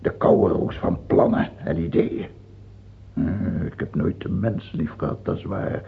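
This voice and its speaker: A man's voice: deep voice, fairly even intonation, a little slow, creaky, breathy edge, exaggerated voice. An actor playing a scheming, imperious aging French king in a radio play, theatrical, moving between murmured musing and scornful outbursts. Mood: brooding passion. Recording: dull, muffled old radio recording.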